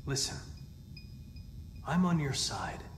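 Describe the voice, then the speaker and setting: A man's voice: medium pitch, big pitch swings, slight background noise. A man playing a composed, analytical young android investigator in a video game, speaking precisely and persuasively. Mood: probing, reassuring. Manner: precise, measured, clinical. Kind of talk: cinematic video game acting. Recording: roomy, with music behind.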